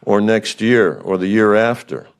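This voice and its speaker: A man's voice: on the low side, unhurried, clean tone. An older man giving public comment at a county meeting, blunt and frustrated, firing rhetorical questions.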